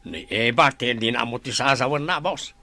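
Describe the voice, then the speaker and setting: A man's voice: mid-range voice, moderate pace, bright, forceful tone, no echo. A man playing a plain-spoken henchman guard in an audio drama, uneasy and deferential. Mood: reporting, deferential. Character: deferential male henchman guard, uneasy but loyal to boss. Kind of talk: Ilocano audio drama acting.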